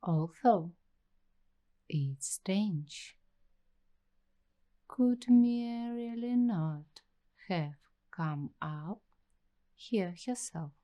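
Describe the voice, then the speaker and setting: A woman playing a nervous, curious young waitress in an ASMR roleplay, speaking softly and breathily in short, halting phrases. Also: medium pitch, lively intonation. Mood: suspicious, curious. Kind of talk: ASMR roleplay, slow scripted monologue.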